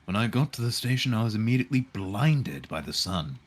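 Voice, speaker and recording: A man's voice: on the low side, sing-song, a little fast. A man streaming a visual novel, reading it aloud and dropping in dry, irreverent asides. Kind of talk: live read-aloud of a game with casual joking asides.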